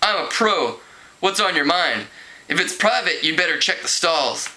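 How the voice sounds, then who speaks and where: Man reading as a sassy, bright-voiced teenage girl. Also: fairly high pitch, lively intonation, normal speed, poor audio. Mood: breezy. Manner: airy, sassy. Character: breezy, self-assured, fashion-minded teenage girl from a novel.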